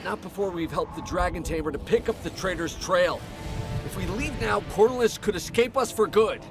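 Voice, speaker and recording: An actor playing an urgent, commanding hunter leader in a game cutscene, intense in delivery. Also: male voice, sits high, normal speed, music in the background. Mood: insistent. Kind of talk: video game cutscene acting.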